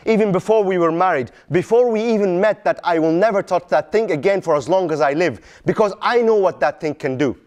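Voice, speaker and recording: A man's voice: high-pitched, darkish tone. A man performing a dramatic monologue as an anguished middle-aged Palestinian father, in Arabic-accented English, with emphatic repetition and pointed questions. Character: anguished Palestinian father pleading with his vengeful son.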